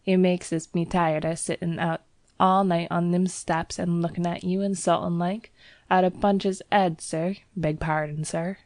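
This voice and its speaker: A woman's voice: fairly low pitch, little pitch movement, a little slow, darkish tone, no room sound, clean studio sound. A woman voicing a young Cockney bellboy, a former soldier, who drops his h's and keeps saying sir. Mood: uneasy.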